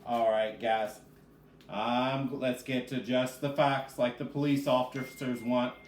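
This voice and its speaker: A man's voice: medium pitch, little pitch movement, takes it slowly. Man reading to children in an echoey room. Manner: addresses children directly, asks and answers own questions. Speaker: reading specialist, teacher. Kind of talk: children's read-aloud and question-led lesson. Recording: echoey room with faint background noise.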